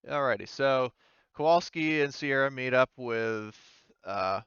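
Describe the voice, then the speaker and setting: A man running a tabletop game as game master, narrating casually and hesitantly as he thinks out loud. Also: mid-range voice, measured pace, smooth, no room sound. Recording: slightly dull, dry close recording.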